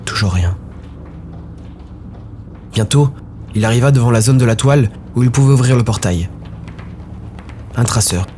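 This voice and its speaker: A man's voice: medium pitch, moderate pace, pauses often, a little mellow, a little hiss or hum. A man narrating a scripted story dramatically, in short, brooding, suspenseful phrases. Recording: music behind the voice.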